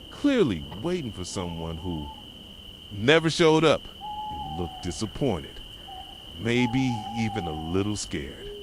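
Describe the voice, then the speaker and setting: A man playing an obsessive, possessive boyfriend in an ASMR roleplay, speaking softly and intensely straight to his partner. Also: medium pitch, noisy background. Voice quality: soft voice. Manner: intense, pleading yet controlling, addressing a partner directly. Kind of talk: ASMR roleplay, dramatic monologue. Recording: nighttime cricket and owl ambience behind. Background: crickets, insects and hooting owls.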